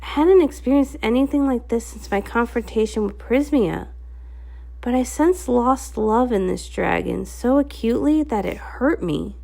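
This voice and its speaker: Creaky, higher-voiced woman reading a story. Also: expressive, moderate pace, warm tone. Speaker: storybook reader. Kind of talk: expressive scripted narration, comic read-along.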